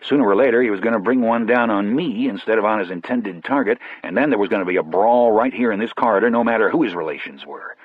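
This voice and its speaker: A man's voice: lowish voice, quick pace, hardly any pauses, slightly rough, narrow, tinny audio. A man narrating an audiobook in the first person, as a storyteller.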